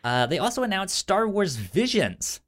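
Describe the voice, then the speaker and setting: A man with a stammer chatting casually and enthusiastically as a podcast co-host, often halting on repeated sounds. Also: high-pitched, very animated, fairly bright tone. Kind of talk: casual unscripted podcast chat about entertainment news.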